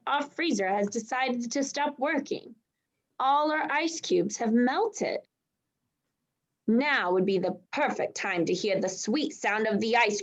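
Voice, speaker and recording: A woman reading a children's bedtime story expressively, with little asides of her own. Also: fairly high pitch.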